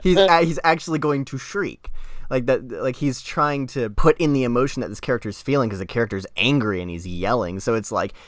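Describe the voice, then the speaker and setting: A man's voice: medium pitch, fairly quick, no echo, clean studio sound. A man co-hosting a podcast, casually debating in an emphatic, argumentative way. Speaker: podcast co-host and critic.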